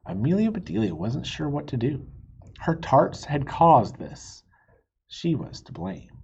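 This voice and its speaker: Animated man reading a storybook, gravelly edge. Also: fairly high pitch, a little slow, highs cut off, a little hiss or hum. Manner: animated, explains words to young listeners. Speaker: reader of a children's book. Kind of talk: expressive storybook read-aloud with asides.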